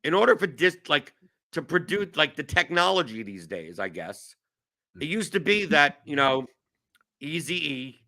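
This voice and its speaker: A man's voice: a little high, sing-song, a little slow, sharp tone. A man in his forties from New York, hosting a casual show in a rambling, self-mocking way with lots of restarts. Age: 40s. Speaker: show host. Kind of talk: casual chat.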